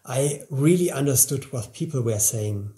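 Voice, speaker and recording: A man in his forties speaking to camera in a studio, giving an earnest, upbeat scripted sales pitch for a language course. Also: animated, moderate pace, dark tone. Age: 40s. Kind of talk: scripted promotional talk to camera. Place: indoor studio, plain grey backdrop, seated at a table.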